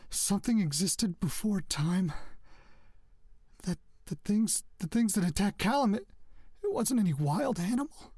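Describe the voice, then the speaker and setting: A shaken son in an audio drama, husky and very close and dry. He stammers in disbelief, agitated, his high voice swinging up and down. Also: animated, a little slow, a little mellow, no room sound, full character voice. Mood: anxious, puzzled. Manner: stammering, agitated, repeating himself. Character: shaken, disbelieving son piecing together dark secrets. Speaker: voice actor. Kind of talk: audio drama, talking to himself.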